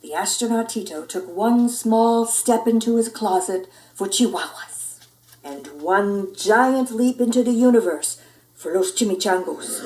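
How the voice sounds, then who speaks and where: A woman narrating a children's story in an expressive read-aloud style. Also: on the high side, recorded from a distance. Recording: roomy indoor echo.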